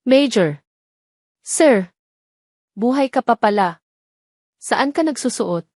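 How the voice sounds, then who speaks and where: A woman's voice: a little high, lively intonation, slow, darkish tone, no room sound, clean studio sound. A woman playing a loyal male investigator who speaks with familiar respect and caution in an audio drama. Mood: surprised, familiar.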